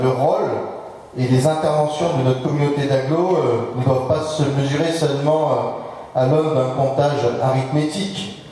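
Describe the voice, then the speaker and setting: Echoey, distant man giving a halting political speech. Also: medium pitch, dark tone. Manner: halting, measured delivery with frequent hesitations and repeated words. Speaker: elected municipal official giving a speech. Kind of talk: formal political speech at a public information meeting. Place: large public meeting hall, indoors. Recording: very echoey hall, distant microphone.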